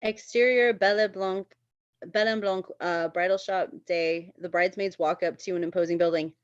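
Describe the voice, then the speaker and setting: A woman's voice: fairly low pitch, fairly even intonation, normal speed, no echo, muffled recording. A woman narrating a screenplay's stage directions for a table read.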